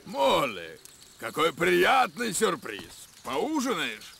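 An actor playing a sly, sardonic explorer with a drawling, mocking delivery in an animated adventure dub. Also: male voice, fairly high pitch, takes it slowly, creaky, background noise, heavily acted. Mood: oily, mocking. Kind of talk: animated adventure series dubbing.

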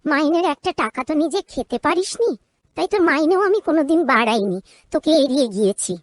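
A tender older housewife from a cartoon drama, acted by a woman, with a notably high voice. She speaks in a coaxing, emotional, motherly way, recorded close and dry. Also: expressive, a little fast, dry recording. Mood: sorrowful, tender. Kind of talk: cartoon story voice acting.

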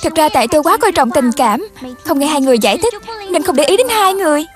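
Woman as a high-voiced Southern Vietnamese cartoon girl. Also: expressive, quick pace, over music. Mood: apologetic. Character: kind, sensitive young village girl, easily hurt.

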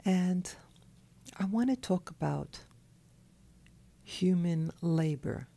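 A woman who has just woken up, talking in a soft, hoarse, half-whispered voice from her bed, slow and halting, with a clip-on mic. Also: on the low side, animated. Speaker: channel host. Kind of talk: early morning monologue. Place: in bed at night.

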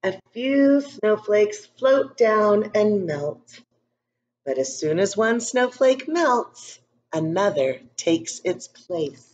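A woman hosting a read-aloud for young children, reading a picture book expressively and deliberately with chatty asides. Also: medium pitch, sing-song, a little mellow, a little hiss or hum. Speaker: school read-aloud host.

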